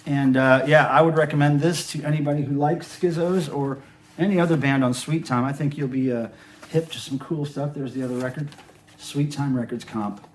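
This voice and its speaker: Casual man with a slightly high voice. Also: steady tone, normal speed, a little hiss or hum. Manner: rambling, offhand, conversational. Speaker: record collector host. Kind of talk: casual show-and-tell vlog about records.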